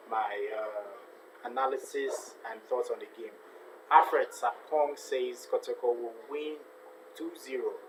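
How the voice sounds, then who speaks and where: A man's voice: mid-range voice, background noise. A man hosting a live football watch-along stream, chatting casually, repeating himself and reading viewer comments aloud. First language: not a native Ghanaian; speaks with a foreign accent.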